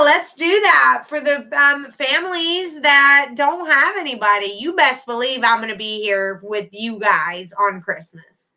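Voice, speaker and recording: A woman's voice: on the high side, bright, forceful tone, low-quality recording. A middle-aged woman hosting a casual livestream, chatting to viewers by name with endearments. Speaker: YouTube livestream host.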